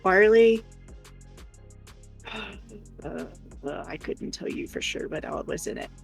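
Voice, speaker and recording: A woman co-hosting a livestream in casual chat, rambling and hesitant as she thinks aloud, with a creaky voice. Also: medium pitch, slow, soft background music. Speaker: co-host of an art livestream.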